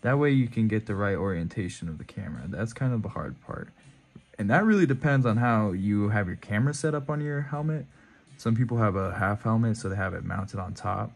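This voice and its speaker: A man's voice: fairly low pitch, creaky, darkish tone. A man casually walking through his motorcycle camera and mic setup in a laid-back, rambling way, often correcting himself. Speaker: motovlogger. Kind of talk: casual gear walkthrough.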